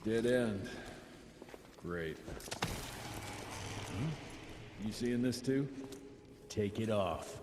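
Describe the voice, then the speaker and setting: An actor playing a cocky young American vampire hunter in a video game cutscene, with a dry, tough manner. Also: male voice, on the low side, animated, extremely slow, long pauses. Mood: impatient. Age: young adult. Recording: noisy effects and ambience behind.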